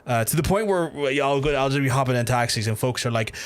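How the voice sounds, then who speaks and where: A man with a softened Belfast accent, chatting casually and rambling as he streams a game, swearing freely. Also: mid-range voice, fast. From Belfast, Northern Ireland. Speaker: live streamer. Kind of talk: casual gameplay commentary and chat with viewers.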